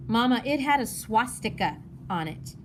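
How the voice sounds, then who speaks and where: Woman playing an earnest, high-voiced schoolgirl. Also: expressive, normal speed, background noise, natural voice. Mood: reassuring, earnest. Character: thoughtful schoolgirl, older sister, sometimes sarcastic.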